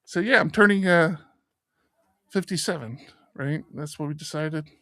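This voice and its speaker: A man's voice: a little high, moderate pace, dry recording, clean studio sound. A man in his fifties co-hosting a podcast, telling stories in casual chat and acting out the voices of people he quotes. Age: 50s. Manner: animated storyteller, acts out quoted voices. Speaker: podcast co-host.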